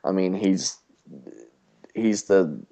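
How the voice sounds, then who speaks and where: A man's voice: low voice, slow, dark tone. A man co-hosting a podcast in casual chat, hesitant with many restarts and fillers. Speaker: podcast co-host.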